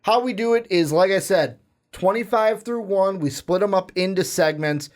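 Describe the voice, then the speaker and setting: Man, podcast co-host, fairly high voice, energetic, casual sports chat. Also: moderate pace. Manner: energetic, plugs and runs the segment. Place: podcast studio.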